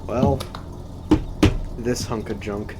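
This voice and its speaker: Slow-talking teen guy, noisy background. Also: lowish voice, steady tone. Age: teens. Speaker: co-host. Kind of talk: casual chat.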